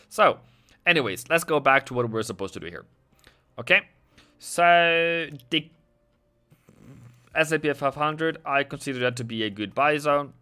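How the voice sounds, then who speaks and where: A man's voice: a little high, lively intonation, measured pace, pauses often, sharp tone, smooth. A man hosting a casual trading livestream, chatting and joking sarcastically with viewers.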